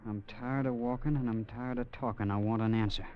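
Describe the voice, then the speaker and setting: A man's voice: mid-range voice, steady tone, brisk, slight background noise, natural voice. A young American gunslinger, played by a male actor, speaks in a middle register with stubborn, menacing restraint. The sound is a muffled old radio drama. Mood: cold, impatient. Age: young adult. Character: Ben, hard, vengeful young gunslinger. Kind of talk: 1950s radio drama acting.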